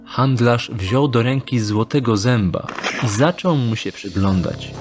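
Slow, measured male narrator over music. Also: mid-range voice. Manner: measured, descriptive. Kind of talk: scripted audio drama narration.

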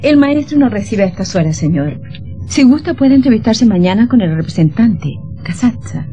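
An actress playing a gentle but spirited woman of twenty in expressive old radio melodrama style. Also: a little high, normal speed, soft background music, close to an everyday voice. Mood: polite, teasing. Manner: expressive, theatrical.

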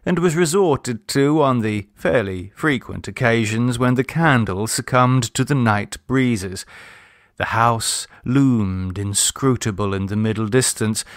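A man narrating a literary short story in a measured, expressive, formal storytelling manner. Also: medium pitch, no room sound, studio quality. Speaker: audiobook narrator.

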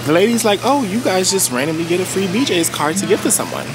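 A man's voice: high-pitched, expressive, fast talker, music in the background. A man vlogging on a handheld camera while waiting in a store line, chatting casually and laughing easily. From born in the Bahamas, raised in New York. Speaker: vlogger, co-host of a couple channel. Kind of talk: casual chat while filming.

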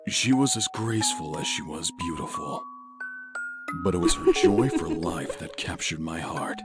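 Casting: male actor as gruff, affectionate old warrior dad, low voice, very expressive, for a comic dub. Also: measured pace, exaggerated voice. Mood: nostalgic. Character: gruff, loving older mercenary father, widowed. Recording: loud music bed under voice.

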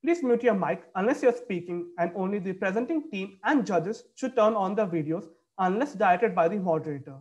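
A man's voice: high voice, expressive, fairly quick, hardly any pauses, darkish tone. A young man moderating a debate on a video call, reading formal announcements from a script. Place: indoor room, video call.

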